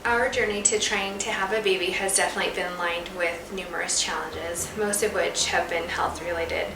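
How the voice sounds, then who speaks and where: An earnest woman in her thirties speaks with sincere warmth of feeling about her own story. Her voice sits well back from the mic. It carries a roomy echo and steady background noise. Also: on the low side, moderate pace. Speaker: wife sharing her infertility story. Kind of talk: heartfelt personal storytelling. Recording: roomy, distant, noisy.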